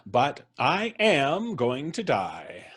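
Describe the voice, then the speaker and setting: An actor playing a calm, amused man who cheerfully expects to die soon, talking in an unhurried, cryptic way. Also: mid-range voice, a little hiss or hum, heavily acted. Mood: serene. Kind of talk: live radio drama reading.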